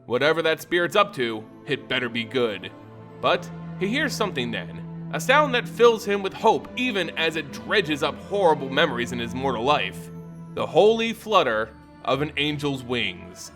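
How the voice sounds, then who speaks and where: A man giving a dramatic, theatrical scripted narration of a fantasy story, with deliberate emphasis. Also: a little high, sing-song. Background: music.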